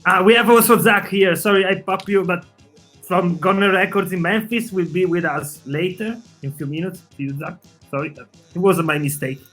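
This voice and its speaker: A man's voice: high-pitched, normal speed, a bit bright, soft background music. A man from Italy, a native Italian speaker with an Italian accent, hosting an online video call show in a friendly, hesitant way. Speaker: show host.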